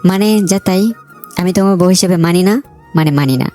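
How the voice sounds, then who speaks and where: Woman doing a bossy cold young guy out for revenge, low soft-edged voice, romantic story, music under it. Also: moderate pace, close to an everyday voice. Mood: cold, resentful. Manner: curt, commanding. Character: cold, vengeful, domineering young man. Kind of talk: dramatised romantic story dialogue.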